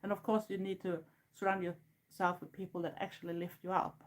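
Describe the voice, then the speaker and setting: Swedish woman in her fifties, lower-pitched voice, earnest and frank, casual live chat with viewers. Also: little pitch movement, a little fast. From Sweden. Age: 50s. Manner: frank and earnest, plain non-native phrasing. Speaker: goth YouTuber hosting a livestream.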